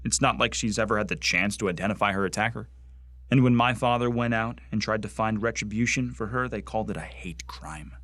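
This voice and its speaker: A man performing a young would-be space marine in a radio drama, bigoted and full of grievance. The voice is on the low side and very dry, close and free of room. He speaks with indignant intensity and weighty pauses. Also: fairly bright tone, no echo, lightly acted. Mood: indignant, bitter. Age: young adult. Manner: intense, indignant, with heavy pauses and sudden outbursts.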